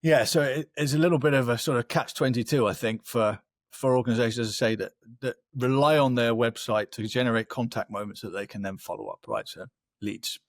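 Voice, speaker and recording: A man's voice: mid-range voice, steady tone, brisk, no echo. A man speaks in a mid-range voice, explaining things like a marketing expert on a webinar. His delivery is conversational and he often checks in with the listener. The sound is close and dry, with little room in it.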